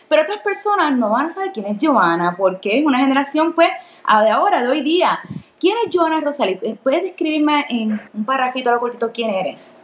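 A woman's voice: a little high, echoey room. A woman hosting a podcast interview and introducing her guest with enthusiasm. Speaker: podcast host.

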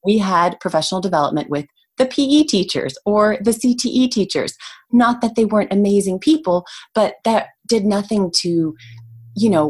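A woman's voice: lowish voice, slightly rough. A woman whose first language is Hungarian, a language education trainer explaining her experience in an enthusiastic, articulate way as a podcast guest. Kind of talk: conversational podcast interview.

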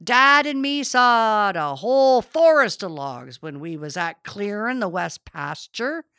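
A woman voicing a boastful, excitable old male farmer reminiscing in a folksy rustic dialect, with emphatic, drawn-out boasts. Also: medium pitch, clear, resonant tone, no echo, studio quality, exaggerated voice. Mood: fond, animated.

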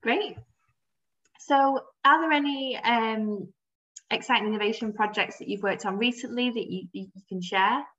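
A woman's voice: a little high, normal speed, a bit bright. A woman hosting a podcast, asking encouraging interview questions. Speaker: podcast host. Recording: slightly dull recording.

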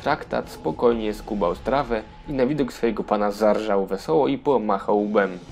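A man's voice: lowish voice, normal speed, creaky, darkish tone, faint music behind. A man narrating a children's audio fairy tale in a scripted storybook style.

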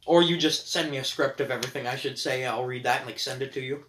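A man's voice: mid-range voice, quick pace, fairly bright tone, echoey room. A teenage man co-hosting a casual podcast at his house, rambling with many fillers and dry jokes. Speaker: podcast co-host.

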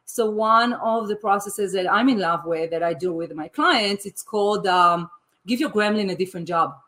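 A woman's voice: medium pitch, normal speed, a bit bright. A woman whose first language is Hebrew, talking as a life coach in a casual podcast conversation, eager and repeating words as she goes.

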